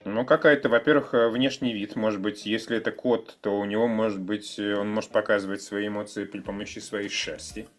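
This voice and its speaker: A man chatting casually, musing in a halting way as he searches for his words. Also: fairly low pitch, fairly even intonation, faint music behind. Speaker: podcast participant.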